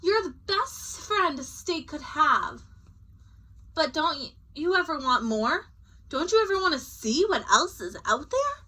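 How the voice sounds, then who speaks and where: Woman putting on a high, moaning storybook Kansas. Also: sing-song, unhurried, bright, forceful tone, heavily acted. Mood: pleading. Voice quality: whiny, moaning. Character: bored, gloomy male Kansas state, whining then eager. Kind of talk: storybook character voice.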